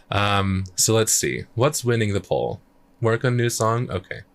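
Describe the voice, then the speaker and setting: A man chatting casually on a live stream, thinking out loud as he works on his music, his voice a little croaky. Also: on the low side, animated, slow pace. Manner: rambling, thinks aloud while working. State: slightly croaky voice. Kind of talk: casual livestream chat.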